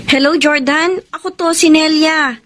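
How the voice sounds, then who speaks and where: An actress playing a blunt, protective younger sister in a radio drama, chiding and expressive. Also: female voice, high voice, unhurried, very noisy. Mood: exasperated, demanding. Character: outspoken, protective younger sister of a village family.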